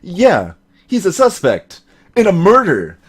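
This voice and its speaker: A man's voice: high voice, wildly swooping pitch, slow, breathy edge, full character voice. A man voicing a sardonic young defense lawyer in a video game, dry and given to stammering when flustered. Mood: startled, flustered.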